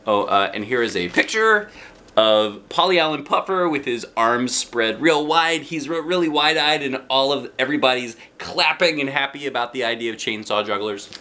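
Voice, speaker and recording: A middle-aged American man reading a children's storybook aloud in animated narration. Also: mid-range voice, moderate pace. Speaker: children's book reader. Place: living room, indoors. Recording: noticeable background noise.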